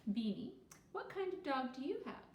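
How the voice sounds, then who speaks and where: A woman voicing a patient, orderly female second-grade teacher with a measured, instructive way of talking in a story. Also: high voice, big pitch swings, far from the mic, voice pushed up. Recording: roomy echo with faint noise.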